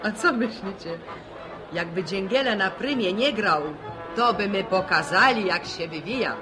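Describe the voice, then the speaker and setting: Woman playing middle-aged wife, gossipy, teasing, swooping intonation, unhurried, music behind. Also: mid-range voice, expressive, natural voice. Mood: mocking. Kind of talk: radio drama dialogue.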